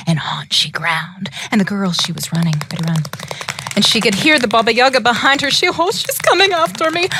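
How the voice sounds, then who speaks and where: A woman's voice: medium pitch, pushed and bright, dry recording, clean studio sound. A woman telling a fairytale live, in a dramatic, emphatic way, drawing her listeners in as she goes. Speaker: storyteller.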